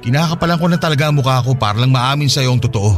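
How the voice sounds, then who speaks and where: A man's voice: mid-range voice, rapid-fire, faint music behind, lightly acted. An actor playing a remorseful young man with an earnest, pleading delivery and a Filipino accent in a radio drama. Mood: apologetic, earnest. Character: remorseful, pleading young man winning back his girlfriend.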